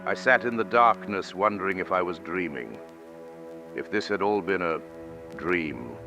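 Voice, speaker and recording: A man playing a haunted survivor journalist who solemnly recounts terror in grave, measured film narration. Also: deep voice. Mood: somber, reflective. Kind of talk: dramatic scripted film narration. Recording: narration under loud film music. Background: loud cinematic music.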